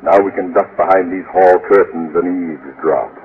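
An actor playing a pompous, excitable, blustering middle-aged father with orotund delivery in a 1950s radio sitcom. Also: sits low, moderate pace, lightly acted. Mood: sly, conspiratorial. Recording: noisy muffled old radio recording.

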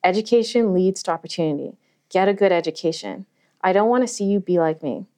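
A woman's voice: lowish voice, expressive, brisk, mellow, clean tone, close to an everyday voice. A woman voicing a nervous young masked robber. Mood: earnest. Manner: words tumbling out, pleading.

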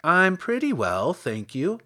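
A kind, polite little storybook girl, voiced by a male reader, gentle and plain-spoken. Her speech has a very lively rise and fall. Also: a little high, moderate pace. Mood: wondering, polite.

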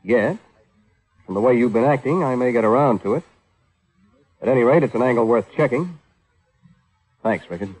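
An actor playing a cool, persistent private detective in an old radio crime drama, dryly needling and unruffled. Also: male voice, medium pitch, animated, moderate pace, pauses often, a little mellow, slightly rough, rough recording. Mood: probing, dry. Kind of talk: 1940s-50s radio crime drama acting. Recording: vintage radio drama recording.

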